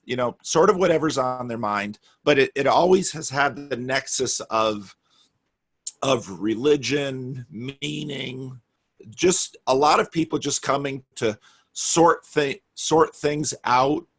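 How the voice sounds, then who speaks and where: A man's voice: mid-range voice, takes it slowly, slightly rough. A man telling stories earnestly about the gatherings he organizes, often restarting his sentences. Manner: earnest, storytelling, frequent restarts. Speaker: guest, meetup organizer. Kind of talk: casual conversation.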